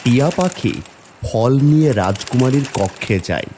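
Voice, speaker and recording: A man's voice: medium pitch, lively intonation, moderate pace, mellow, slight background noise. A man narrating a scripted fairy tale for a cartoon. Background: background music.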